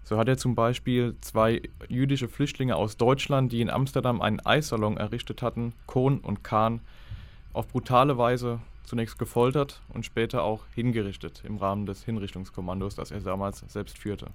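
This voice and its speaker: A man's voice: mid-range voice, fairly even intonation, normal speed. Documentary expert, male, mid-range voice, sober factual explanation in long formal sentences. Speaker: historical expert.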